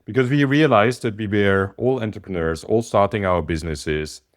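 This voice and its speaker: A man from Austria with an Austrian German accent, a business coach talking casually and hesitantly to an online group, with many pauses and ums. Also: on the low side.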